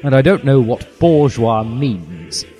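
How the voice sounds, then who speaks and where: A man's voice: medium pitch, very animated, slow, warm tone. A man voicing a stern, prim older female teacher who scolds disapprovingly in a comic story reading. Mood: scolding, indignant. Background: music.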